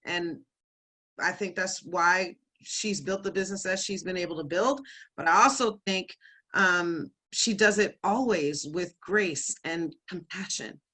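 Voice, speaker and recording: A woman's voice: lowish voice, measured pace, sharp tone. A woman hosting an online event, enthusiastic and chatty as she introduces the speakers over a video call. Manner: enthusiastic, chatty, laughs easily. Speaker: event host.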